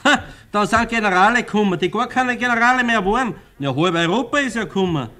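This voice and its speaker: An actor playing a sardonic village tavern regular with an Austrian accent, complaining emphatically in a radio play. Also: male voice, high voice, expressive, quick pace, pushed and bright. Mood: scornful grumbling. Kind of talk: German radio play dialogue in dialect.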